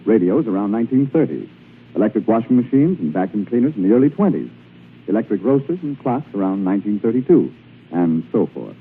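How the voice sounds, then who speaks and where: A man with an American accent announcing formally from a script in a measured, promotional 1940s radio style. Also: fairly low pitch. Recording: tinny 1940s radio broadcast, music behind.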